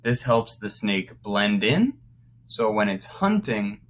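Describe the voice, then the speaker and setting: A man teaching young children through a read-aloud, pausing to explain words as he goes. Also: on the low side, very animated, a little hiss or hum. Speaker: first-grade teacher.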